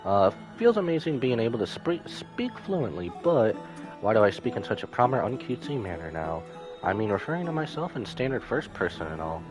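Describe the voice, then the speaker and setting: Small furry video game sidekick read by a man, playful, fussy and wordy, very varied pitch, music underneath. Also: sing-song, a little fast, lightly acted. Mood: mildly indignant. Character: small furry fairy companion, forgiving, playful, sleepy. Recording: music behind the voice.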